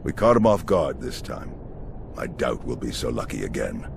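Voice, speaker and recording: An actor playing a gracious, resolute military general in a video game cutscene, formal and solemn, with noise behind him. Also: male voice, very deep voice, sing-song, normal speed, vocal fry, exaggerated voice. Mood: grim. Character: stately, battle-weary male military general, gracious and resolute.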